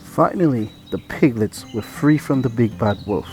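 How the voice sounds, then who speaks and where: A father reads a children's bedtime story aloud, gentle and steady. His voice sits in a plain middle range. Faint music plays underneath. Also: medium pitch, moderate pace.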